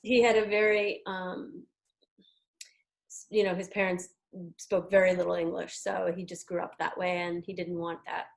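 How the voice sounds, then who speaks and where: A woman from New York with an American accent, talking casually as an interview guest, chatty with hesitant pauses. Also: fairly low pitch, little pitch movement, vocal fry. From New York, United States. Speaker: interview guest, tarot deck creator and artist.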